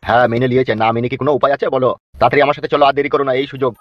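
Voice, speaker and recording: Casting: male actor as wheedling, coarse cartoon husband, very fast delivery, muffled audio with music. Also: mid-range voice, fairly even intonation, dry recording, close to an everyday voice. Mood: eager, urgent. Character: bickering, sweet-talking two-timing husband, foul-mouthed. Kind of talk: comic cartoon dialogue. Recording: muffled narrow-band audio over music.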